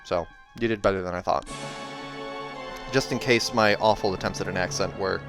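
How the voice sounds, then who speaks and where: A man with a fairly low voice narrates a fantasy story. He moves between storybook reading and informal, self-correcting commentary. Faint music plays behind him. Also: fairly even intonation, normal speed, creaky. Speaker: narrator reading a novel aloud and reacting. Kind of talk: audiobook narration with casual asides.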